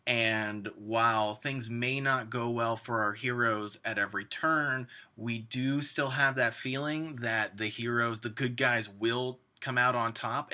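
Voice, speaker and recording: A man's voice: mid-range voice, pushed and bright. A man giving an informal spoken review of a script, talking in an emphatic, conversational way.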